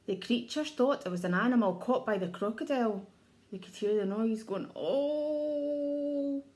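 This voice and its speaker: A woman's voice: fairly high pitch, darkish tone. A woman reading a children's storybook aloud like an early years teacher, expressively, putting questions to her young listeners.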